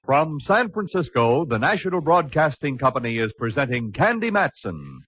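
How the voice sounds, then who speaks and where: Animated male announcer, very noisy old radio. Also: medium pitch, normal speed. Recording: muffled, very noisy vintage radio recording.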